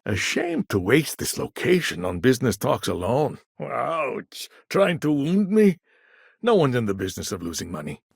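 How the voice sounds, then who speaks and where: Gravelly, wry businessman. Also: mid-range voice, big pitch swings, moderate pace, no echo, studio quality, full character voice. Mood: wry, teasing. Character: shrewd, suave businessman, wry and self-assured negotiator. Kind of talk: video game dialogue acting.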